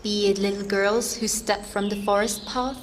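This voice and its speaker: A woman's voice: mid-range voice, fairly even intonation, normal speed, a little hiss or hum, close to an everyday voice. Woman as an earnest fairytale storyteller, faint ambience. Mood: evocative. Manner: dramatic, evocative. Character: earnest, atmospheric female storyteller, easily irritated by interruptions. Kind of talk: scripted fairytale narration.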